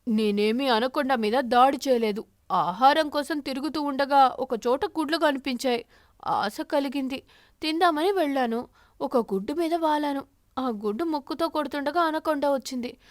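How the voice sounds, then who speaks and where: Woman as cartoon crow, high voice, defensive, frightened, pleading, close dry sound. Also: moderate pace, dry recording, close to an everyday voice.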